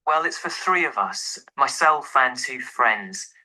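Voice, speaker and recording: A man's voice: medium pitch, expressive, a little slow, bright, forceful tone, poor audio. A man playing an easygoing young professional in an acted phone dialogue, speaking casually and informally. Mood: casual, friendly. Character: easygoing young male professional phoning to rent a flat.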